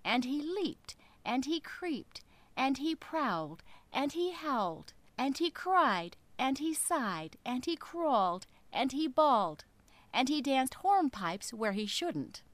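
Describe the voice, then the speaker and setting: Female storyteller for kids' classics: fairly high voice, dramatic and deliberate, close dry recording. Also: big pitch swings, no echo. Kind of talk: expressive children's story narration.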